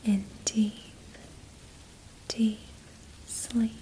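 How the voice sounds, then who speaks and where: A woman whispering softly and slowly as an ASMR host, guiding breathing and counting down into sleep with long pauses. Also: on the high side, flat delivery, a little hiss or hum, low-quality recording.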